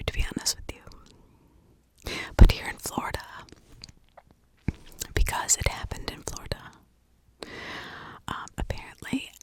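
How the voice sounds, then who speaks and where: A woman doing ASMR true-crime storytelling in a breathy whisper, chatting from memory with dramatic emphasis on key words. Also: a bit bright. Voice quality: breathy, unvoiced whisper with creaky edges.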